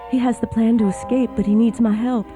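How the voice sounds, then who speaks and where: An actress playing a proud, sorrowful young Southern wife in a radio drama. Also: female voice, on the high side, fairly even intonation, fairly quick, music in the background, natural voice. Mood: determined.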